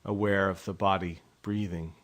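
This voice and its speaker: A man leading a guided meditation in a calm, unhurried, measured way, leaving pauses between phrases. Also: low voice. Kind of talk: guided body scan meditation narration.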